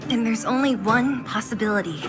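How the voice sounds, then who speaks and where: A woman voicing a brisk, bossy but caring young woman in a video game, direct and commanding. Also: fairly high pitch, over music, close to an everyday voice. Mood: focused.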